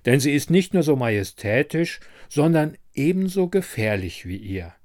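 A man's voice: a little high, sing-song, normal speed, a little mellow. Man as a solemn, courtly old winter lord. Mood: solemn wooing.

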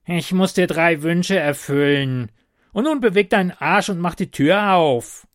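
A man's voice: a little high, a little slow, dry recording, clean studio sound, pitched above the performer's own voice, close to an everyday voice. A man voicing a small, grumpy green male house goblin who talks in a scornful, snappish way. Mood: angry.

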